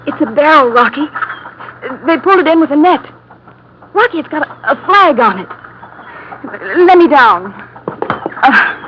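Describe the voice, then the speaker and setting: A woman's voice: high voice, animated, unhurried, pauses often, fairly bright tone. An actress playing an anxious, complaining young female sidekick in a 1950s radio drama. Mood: curious, excited. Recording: muffled, noisy old radio recording.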